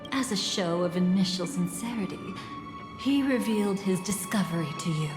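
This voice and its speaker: An actress voicing a mysterious woman storyteller in a game, recounting fate in measured, theatrical phrases. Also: mid-range voice, natural voice. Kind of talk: dramatic scripted game narration. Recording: cavernous reverb with music underneath.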